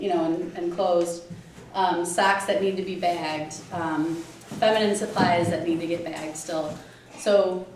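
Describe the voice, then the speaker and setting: A woman projects a big teacher voice across a crowd of volunteers. She is recorded from a distance in a very echoey hall, with clear background noise. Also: on the low side. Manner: loud teacher-style projecting voice. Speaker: mother organizing volunteers.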